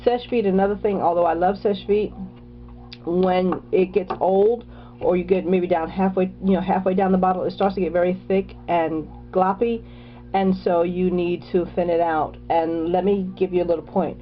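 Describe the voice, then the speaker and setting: A woman's voice: fairly low pitch, steady tone, moderate pace, dull audio, slight background noise. A woman casually talking through her nail care products, chatty, with many fillers and restarts. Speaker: beauty vlogger.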